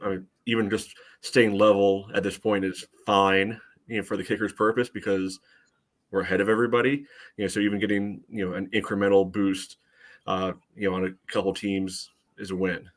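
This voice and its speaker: A man co-hosting a soccer podcast, giving casual game analysis in a measured, conversational way. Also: on the low side, flat delivery. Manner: measured, frequent "you know" asides. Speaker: soccer fan podcast co-host. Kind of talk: casual sports analysis chat.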